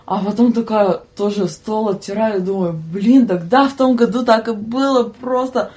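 A young adult woman with a medium-pitched voice chats casually as a podcast guest. She tells anecdotes in lively, slangy language and slips into quoting people. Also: moderate pace. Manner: animated, slangy, giggly storytelling with acted-out quotes. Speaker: podcast guest, student train attendant. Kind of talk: casual chat, telling anecdotes.

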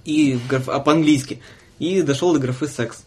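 A young man hosting a casual podcast, telling joking anecdotes in a halting, chatty way. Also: on the high side, darkish tone. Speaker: podcast host. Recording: clear background noise, clicks.

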